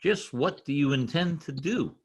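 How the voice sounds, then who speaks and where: A man's voice: a little high, lively intonation, normal speed, slightly rough. A man playing a querulous, suspicious, fussy husband in an old-time radio drama. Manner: querulous, incredulous.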